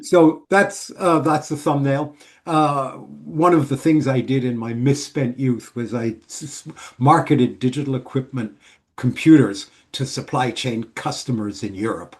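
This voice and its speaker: Middle-aged Swiss-born man explaining. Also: mid-range voice. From Switzerland. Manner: measured, explanatory, listing points. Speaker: guest, author. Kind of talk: casual interview.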